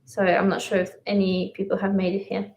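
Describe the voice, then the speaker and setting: A woman's voice: mid-range voice, completely flat delivery, a little fast, a little mellow, highs cut off, slight background noise. A woman from Latvia whose first language is Russian, co-hosting a casual livestream and talking with many fillers and restarts. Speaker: livestream co-host. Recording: echoey room.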